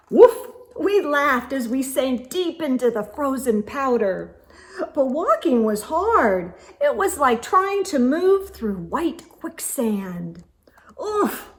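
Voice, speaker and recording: Female children's read-aloud host. Higher voice, very measured pace, expressive delivery with hushed asides to young listeners. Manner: expressive, gasps and whispered asides.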